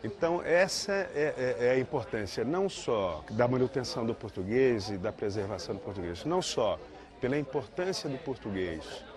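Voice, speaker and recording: A man's voice: medium pitch, expressive, moderate pace, crowd noise. A male expert giving an earnest interview statement, restarting phrases as he searches for words. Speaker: language expert. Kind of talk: interview testimony.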